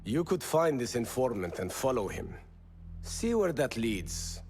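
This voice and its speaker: An actor playing a hard, ruthless and proud rebel leader in a video game, curt and commanding. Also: male voice, medium pitch, big pitch swings, a little slow, darkish tone, a little hiss or hum. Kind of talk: video game cutscene acting.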